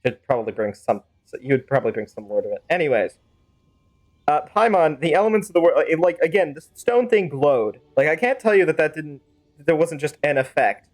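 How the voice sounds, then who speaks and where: A man's voice: fairly high pitch, expressive, fairly quick. A man livestreaming a game, talking in a casual, rambling, chatty way and slipping into playful role-play. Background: game music.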